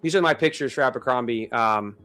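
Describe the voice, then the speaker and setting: A man's voice: medium pitch, brisk, pushed and bright. A man of thirty-nine chatting casually as a live stream host, with informal, slangy bro-talk. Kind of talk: casual chat.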